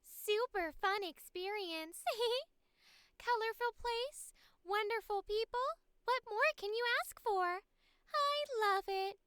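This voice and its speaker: A gentle, playful young woman puppeteer, speaks in a soft and extremely high girlish voice. She is kind and giggly, taking her time over each bright phrase, in a very dry, close recording. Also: slow, no room sound, heavily acted. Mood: sweet, playful. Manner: cheerful, giggly. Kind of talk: character voice audition read.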